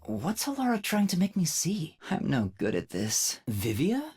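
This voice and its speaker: A man voicing a timid, earnest young male trainee detective in an anime-style video game, with a youthful, breathy voice and a polite, hesitant manner. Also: on the high side, wildly swooping pitch, unhurried, a little mellow, dry recording, clean studio sound, full character voice. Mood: unsure, hesitant.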